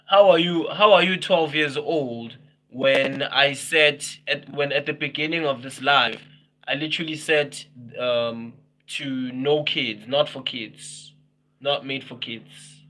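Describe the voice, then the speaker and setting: A man's voice: medium pitch, slow, fairly bright tone, low-quality recording. A man in his twenties chatting casually with viewers on a livestream, teasing them, laughing often and repeating himself. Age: 20s. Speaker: livestream host.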